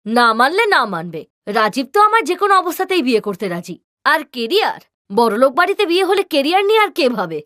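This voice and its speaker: A woman's voice: sits high, very animated, fast talker, fairly bright tone, no room sound, studio quality. A woman voicing a vain young elder sister in a cartoon, bossy and dismissive, sprinkling in English slang. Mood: scornful, boastful. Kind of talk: cartoon character dialogue.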